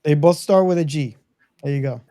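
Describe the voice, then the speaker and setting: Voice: medium pitch, brisk, warm tone, no room sound, studio quality. A podcast host talking casually about a film, with rambling asides to listeners. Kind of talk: casual movie discussion.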